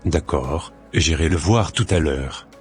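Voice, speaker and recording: A man's voice: rumbling low, slow, faint music behind. A man voicing a stern, devout pastor. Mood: concerned. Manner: solemn, preachy, fervent in prayer.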